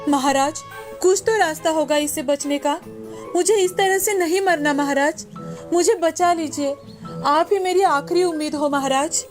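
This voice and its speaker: A woman's voice: high voice, normal speed, soft background music, natural voice. A woman voicing an anxious, pleading old miserly woman in a cartoon moral story. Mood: frightened pleading. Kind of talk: animated moral-story dialogue.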